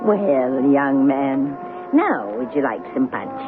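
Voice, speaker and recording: An actress playing a sly, sweet-talking old church lady in her eighties in a radio drama, genteel, coy and gently teasing. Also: rumbling low, big pitch swings, takes it slowly, music in the background, heavily acted. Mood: sweetly coaxing. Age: 80s. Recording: muffled, noisy 1970s radio broadcast.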